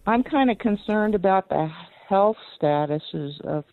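A woman's voice: mid-range voice, lively intonation, unhurried, no echo. A woman calling a radio show by telephone, chatting casually in a questioning way over a narrow phone line.

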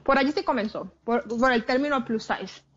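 Colombian coastal-accent woman guest, passionate and emphatic, higher voice, muffled lo-fi audio. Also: moderate pace, a bit bright. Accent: Colombian Caribbean coast, mixed with English. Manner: passionate, emphatic, with frequent restarts. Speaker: plus-size model, guest. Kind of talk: interview conversation.

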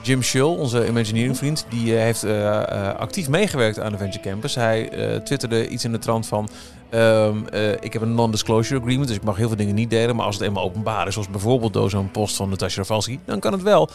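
A man's voice: fairly low pitch, fast, few pauses, a little mellow, soft background music. A man hosting a podcast, chatting casually with co-hosts about theme park news. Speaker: podcast host.

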